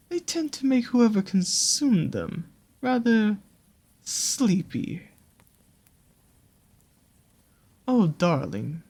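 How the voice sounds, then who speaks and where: A voice actor playing a sly, possessive naga monster in an intimate roleplay, speaking in an unhurried, soothing, coaxing way with doting pet names. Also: medium pitch, darkish tone, exaggerated voice. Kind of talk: slow intimate roleplay audio with storytelling.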